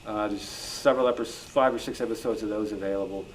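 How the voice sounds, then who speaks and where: Male history researcher, slightly low voice, informal panel talk, noisy live recording. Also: steady tone, fast. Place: club meeting room. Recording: clearly noisy live room.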